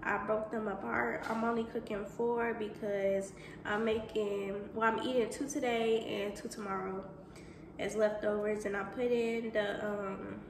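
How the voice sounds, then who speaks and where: A woman's voice: mid-range voice, level tone, measured pace. A young woman talking viewers through a home cook-along in casual, chatty speech, calling them y'all and boo. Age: young adult. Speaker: cooking vlogger. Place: home kitchen, indoors. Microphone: camera on counter, at a distance. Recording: echoey kitchen with noticeable background noise.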